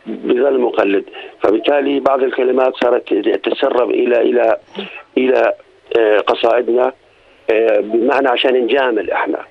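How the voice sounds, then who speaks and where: An older Jordanian man, a poet and guest on a radio show, speaking quickly and emphatically with a Jordanian accent and reciting his own verse down a muffled phone line. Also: mid-range voice, steady tone. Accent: Jordanian Arabic. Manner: fast, emphatic, gravelly delivery.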